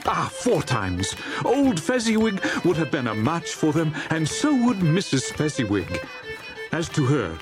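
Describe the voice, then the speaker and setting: A man narrating a dramatized storybook in a theatrical, jovial manner. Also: on the high side. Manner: theatrical, jovial, relishing lists. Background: music.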